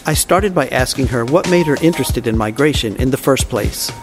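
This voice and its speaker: A man hosting an interview podcast, reading a scripted intro and then asking questions calmly. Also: medium pitch, a little fast. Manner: calm, brief affirming murmurs. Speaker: podcast host. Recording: music bed under the voice.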